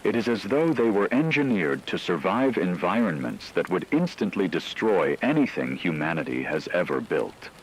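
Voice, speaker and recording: A man playing a grave radio news anchor, reading scripted breaking news in a measured, formal manner. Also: deep voice, sing-song, breathy edge, exaggerated voice, low-quality recording.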